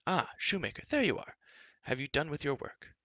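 A man's voice: fairly high pitch, sing-song, normal speed, pushed and bright, dry recording, dull audio, pitched above the performer's own voice, exaggerated voice. A man voicing a boisterous, overeager young prince with a hearty, bouncy delivery.

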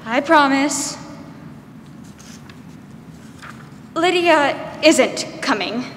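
Young woman playing slow, high-voiced, fearful strange sister. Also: animated. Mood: subdued. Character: strange, fearful, obsessive older farm sister, childlike and stubborn. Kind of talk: 1940s radio drama acting. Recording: roomy stage hall, faint noise.

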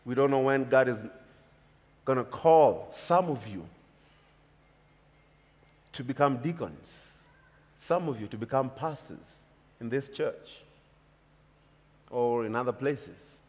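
A man's voice: mid-range voice, slow, long pauses, darkish tone. A man in his thirties with a Kenyan accent, preaching a sermon from a church pulpit in an emphatic, repetitive cadence. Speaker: pastor. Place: church stage with large hall. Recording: long reverb of a church hall.

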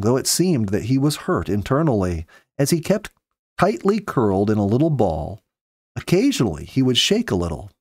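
A man in his fifties reading a story aloud with earnest, measured, dramatic phrasing, close to a studio microphone. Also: on the low side, a little mellow, no echo. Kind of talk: expressive audiobook-style story narration. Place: small home study, indoors. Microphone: close studio mic with pop filter.

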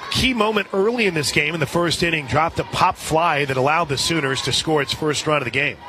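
An American man doing live radio play-by-play commentary of a softball game. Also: a little high. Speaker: radio play-by-play sports announcer. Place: outdoor stadium broadcast position. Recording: crowd noise and music behind commentary. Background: stadium crowd and music.

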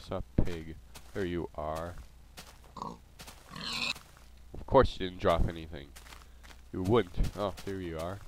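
A low-voiced man, congested and nasal from a cold, talks his way slowly through a game in a loose, rambling manner full of ums and uhs. The recording carries a clear layer of hiss and noise. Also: steady tone. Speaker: gameplay video host. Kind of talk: casual gameplay commentary.

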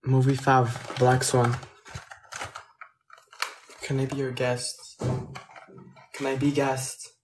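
A man's voice: medium pitch, completely flat delivery, slow, pauses often, a little hiss or hum. A teenage boy, chatting casually on a phone livestream in a small room at night, answering viewers' questions. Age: teens. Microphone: phone at arm's length.

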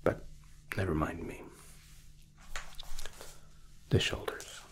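A man in his thirties doing a soft ASMR roleplay, hushed, polite and hesitant, close to the microphone. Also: slow pace. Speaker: ASMR roleplay performer, playing a royal sculptor.

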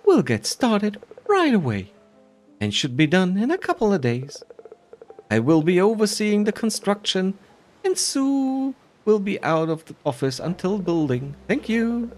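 A man's voice: high voice, wildly swooping pitch, unhurried, a little mellow, soft background music, pitched above the performer's own voice, full character voice. A man voicing a courteous, businesslike female real estate agent in a video game. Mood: polite, helpful. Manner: courteous, formal, eager to serve. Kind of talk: voiced video game dialogue.

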